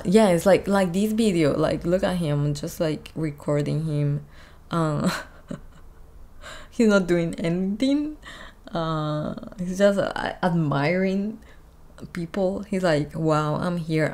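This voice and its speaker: A young woman talks through concert outfits in a relaxed vlog, her voice sitting low. She takes her time, padding thoughts with "like" and "honestly" in an easy conversational flow. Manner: chatty, many fillers like "like" and "honestly". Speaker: fashion designer and YouTube host. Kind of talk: casual reaction commentary.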